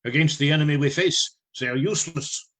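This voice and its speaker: A man playing a learned, resolute vampire-hunting professor in a radio play, grave, measured and authoritative. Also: a little high, fairly bright tone, natural voice. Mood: commanding, solemn.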